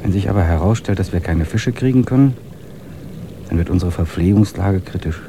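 An actor playing a stubborn, determined expedition member in a radio drama, speaking earnestly. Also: male voice, deep voice, brisk, background noise, close to an everyday voice.

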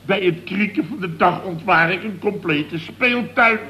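An exasperated boss of a building firm in a radio comedy. His voice is rather high and very sharp, and he blusters indignantly, sputtering and doubling back on his words. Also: unhurried, heavily acted. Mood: outraged. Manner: blustering, sputtering, repeats himself. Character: flustered, indignant contractor boss, forever exasperated.

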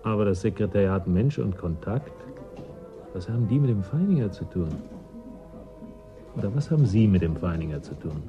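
A man's voice: fairly low pitch, expressive, normal speed, pauses often, music in the background, lightly acted. A blunt, distrustful man of a frozen settlement, played by a male actor. He talks curtly and with dry sarcasm, in the manner of a radio play. The recording is somewhat dull. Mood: probing. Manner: curt, sardonic, distrustful. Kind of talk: German radio play acting.

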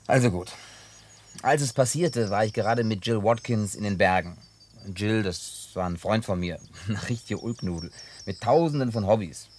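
A man acting in a German radio play as a gruff, hot-headed but harmless drifter who tells things in a colloquial, grumbling way. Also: fairly low pitch, normal speed, a little mellow, a little hiss or hum, lightly acted. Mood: wry, reminiscing.

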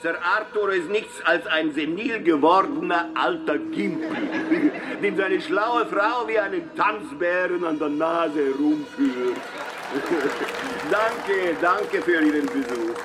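A man's voice: fairly high pitch, slow, very noisy. An actor playing a mocking showman magician addressing a crowd with theatrical, sneering patter in a radio drama. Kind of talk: radio drama stage speech. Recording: music and crowd behind the voice. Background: music and a crowd.

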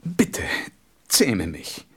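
Husky, wistful fox with swooping voice. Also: male voice, on the low side, exaggerated voice. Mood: gently pleading. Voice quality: husky, breathy voice that drops into whispers. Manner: deliberate, expressive, tender storybook delivery. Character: wistful, gentle, wise fox longing for friendship. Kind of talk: radio play acting.

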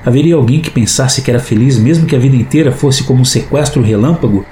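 A man's voice: mid-range voice, quick pace, mellow, a little hiss or hum. A man who hosts a podcast, reading scripted narration.

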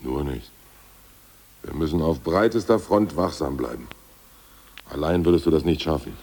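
A man's voice: rumbling low, animated, moderate pace, darkish tone, slightly rough, exaggerated voice. An actor playing an ousted male party boss, scheming and sarcastic, in a 1980s radio drama, with a dry, mocking way of talking.

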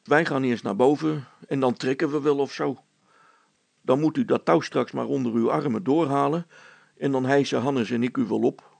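A practical, impatient working man from a storybook, played by a male narrator. He speaks bluntly and emphatically, with an exasperated edge. The voice is husky and heavily creaky, close and dry. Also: mid-range voice, steady tone, normal speed, a little mellow, no echo, voice pushed up. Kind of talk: acted children's story.

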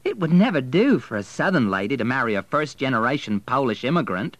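A man voicing a haughty young Southern lady, prim, brisk and condescending, in an audiobook novel. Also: mid-range voice, big pitch swings, dry recording, voice pushed up, close to an everyday voice. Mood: scornful.

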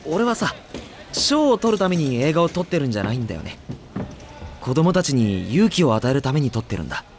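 控えめで真面目な若い映画監督. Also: male voice, a little high, sing-song, brisk, faint music behind. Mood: earnest. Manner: self-effacing, earnest. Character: modest, earnest young student film director. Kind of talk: anime comedy audio drama.

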